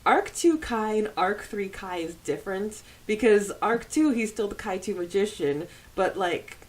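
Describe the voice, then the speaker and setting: A woman's voice: medium pitch, animated, unhurried, darkish tone. A woman co-hosting a podcast, chatting casually and reading out fan comments with giggly enthusiasm. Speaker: podcast co-host.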